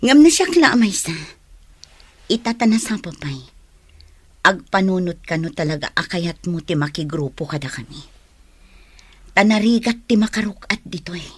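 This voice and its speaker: A woman's voice: fairly low pitch, animated, normal speed, long pauses, husky, no room sound. A woman playing a questioning, admonishing older-sister figure in a radio drama, advising a young recruit to a hideout group. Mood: concerned, advising. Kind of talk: Ilocano radio drama acting.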